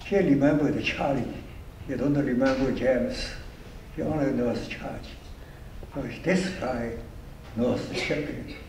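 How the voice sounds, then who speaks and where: An older man with a heavy Chinese accent, speaking in halting, broken English as an award honoree, repeating words as he goes. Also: mid-range voice, darkish tone. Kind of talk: speech with audience Q&A. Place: event hall. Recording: echoey room from far off, faint noise.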